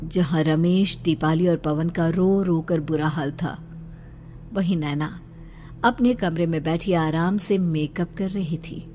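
A woman giving scripted narration for an animated horror story, with music underneath. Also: low-pitched, slow pace.

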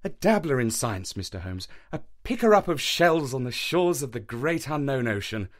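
A man voicing an eager, bumbling young country doctor who talks in an effusive, fussy way and stumbles over his words, with a higher voice than the narration. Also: sing-song, moderate pace, natural voice. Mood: humble.

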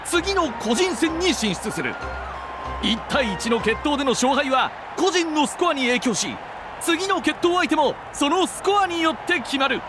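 Very high-pitched, excited arena announcer. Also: male voice, unhurried, exaggerated voice. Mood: emphatic explaining. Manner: emphatic, projected, formal. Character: excited male tournament announcer, bold and theatrical. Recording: game cutscene under loud music.

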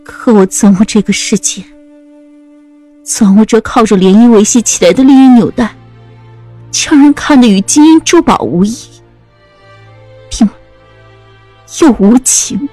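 A woman playing a sorrowful, bitter young noblewoman in a period audio drama, speaking in a plaintive, measured way. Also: a little high, animated, dark tone, dry recording, soft background music. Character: sorrowful young noblewoman, resigned yet bitter, voicing last letter.

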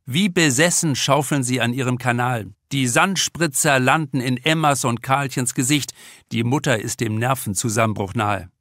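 A man's voice: medium pitch, normal speed, a bit bright, no echo, studio quality. A man reading a crime novel aloud as a scripted audiobook narration. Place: dry studio.

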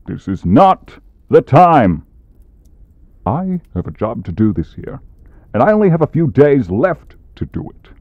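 A man playing a grumpy, exasperated demon who punishes people, curt and gruff, in an ASMR comedy roleplay. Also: mid-range voice, big pitch swings, unhurried, no room sound, highs cut off. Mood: desperate. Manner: gruff, curt, long-suffering.

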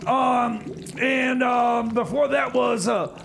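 A man playing an exasperated, halting sidekick and music host in comedy radio theater. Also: very high voice, fairly even intonation, gravelly, a bit bright, a little hiss or hum, heavily acted. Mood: rambling. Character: exasperated, hesitant male time-machine mechanic's partner.

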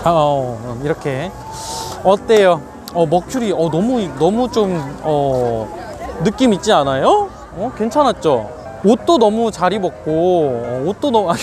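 A young man from South Korea with a Korean accent, chatting and interviewing people in a city park, with many fillers and laughs. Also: high-pitched. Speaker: street interviewer. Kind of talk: street interview vlog. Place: busy city park outdoors.

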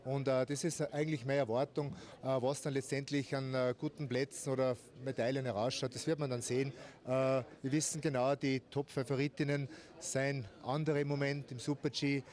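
Flat-voiced middle-aged man, hesitant. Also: mid-range voice, moderate pace. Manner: flat, hesitant, many fillers. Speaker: sports director. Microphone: handheld mic.

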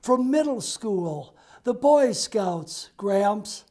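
An eager young boy, voiced by a man reading his own poem explaining things to his grandfather. The delivery is slow and deliberate, in measured verse. The voice stays mellow and low. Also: lively intonation, heavily acted.

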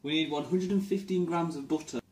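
A man's voice: on the high side, a little fast. A young man with a Midlands English accent, chatting playfully while cooking at home. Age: young adult. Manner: playful, bursts into laughter. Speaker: cooking vlog co-host. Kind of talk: casual jokey cooking chat. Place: small home kitchen. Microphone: camera mic on the counter. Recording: noisy home video.

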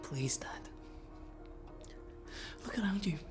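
A young actor playing a hurt, troubled teenage son in a naturalistic drama, pleading and defensive. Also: male voice, sits high, expressive, slow, music in the background. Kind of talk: naturalistic screen drama acting.

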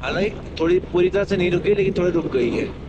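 A man's voice: sits high, quick pace, lo-fi sound, heavy background noise. A man giving casual travel vlog commentary, describing his surroundings to viewers as he rides. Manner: narrates surroundings while riding, addressing viewers. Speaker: travel motovlogger. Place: outdoors on a motorcycle on mountain roads. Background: music and vehicle sound.

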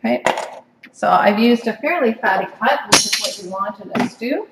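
Chatty woman demoing slow cooker recipes. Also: mid-range voice, lively intonation, very noisy. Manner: chatty, many ums and restarts. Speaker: cooking demonstrator. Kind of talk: live cooking demonstration. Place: home office kitchen. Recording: echoey room, from far off.

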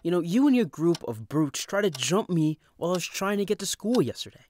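A man's voice: high voice, very animated, brisk, darkish tone, reverberant, a little hiss or hum. A man playing a wimpy, bookish and hesitant teenage boy in an amateur comic dub. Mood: annoyed.

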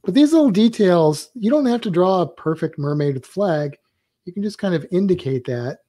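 A man's voice: high-pitched, lively intonation, moderate pace, mellow, dry recording, studio quality. A man teaching drawing on a live stream, rambling loosely and thinking aloud while he sketches.

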